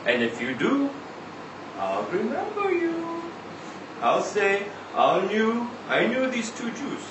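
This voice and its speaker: A man's voice: high-pitched, huge pitch swings, full character voice. Male actor as chatty Israeli cab driver, swooping intonation, insistent, sentimental, live monologue, distant echoey mic. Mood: pleading, bargaining. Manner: conversational, halting, with rhetorical questions and repeated tags. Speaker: reader at a live literary event. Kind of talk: dramatic monologue reading of a short story. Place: indoor live reading event. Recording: roomy live venue, distant mic, faint noise.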